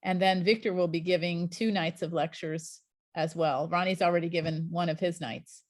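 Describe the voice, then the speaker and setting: A middle-aged woman leads an online scuba class over a video call, chatty and encouraging, with plenty of fillers. Her voice sits at an ordinary pitch. The sound is dry and close, with little room around it. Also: steady tone, normal speed, a little mellow, no echo. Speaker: scuba instructor trainer.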